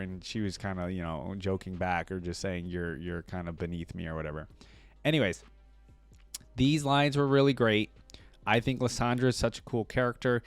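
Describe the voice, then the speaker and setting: A man with a fairly low voice talks casually to his viewers as a reaction-video host. He muses and rambles like an enthusiastic fan, with plenty of filler phrases. The sound is dry and close, with no room around it. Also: clean tone, no echo. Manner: rambling, musing, often hedging with "like" and "you know". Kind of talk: casual chatty commentary to viewers.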